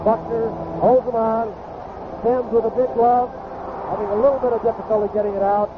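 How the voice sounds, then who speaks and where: A man's voice: very high voice, little pitch movement, brisk. An American man giving conversational, explanatory colour commentary on a live baseball radio broadcast. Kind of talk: live radio game analysis. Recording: noisy, tinny 1970s AM radio broadcast.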